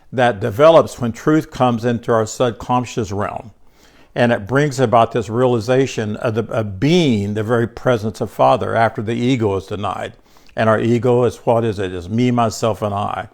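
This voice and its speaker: A man's voice: mid-range voice, normal speed. An older man teaching a small group about scripture in a loose, conversational way, congested, often restarting words and speaking to listeners by name. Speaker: bible teacher.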